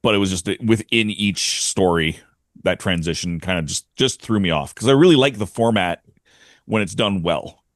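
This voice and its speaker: A man gives his take in a casual podcast discussion. His voice sits a bit low, and the sound is close and dry. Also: normal speed, no room sound. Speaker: podcast panelist.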